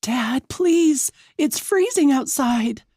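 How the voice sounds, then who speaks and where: A woman's voice: high-pitched, animated, takes it slowly, darkish tone, no room sound, clean studio sound, voice pushed up, heavily acted. A woman voicing a frantic, scared young sister in an audiobook, begging protectively.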